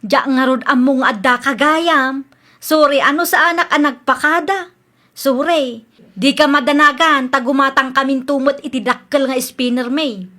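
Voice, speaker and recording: An actress playing a brash, defiant, sassy housewife with an Ilocano accent in a radio comedy drama. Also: female voice, high-pitched, normal speed, bright, forceful tone. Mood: breezy. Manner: sassy, argumentative. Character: brash, defiant housewife who borrows without asking.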